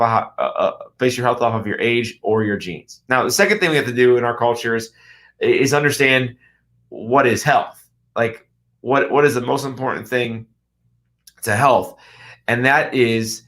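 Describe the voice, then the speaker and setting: Middle-aged Midwestern man, radio health host; earnest, emphatic delivery with rhetorical questions, mid-range pitch. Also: fairly bright tone. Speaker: radio show host and chiropractor. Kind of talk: health talk radio monologue.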